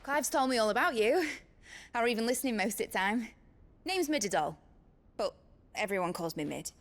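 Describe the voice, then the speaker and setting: A woman's voice: a little high, animated, normal speed. A woman playing a cheeky, eager young engineer in a fantasy game, chirpy and informal. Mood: excited. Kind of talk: cinematic game dialogue.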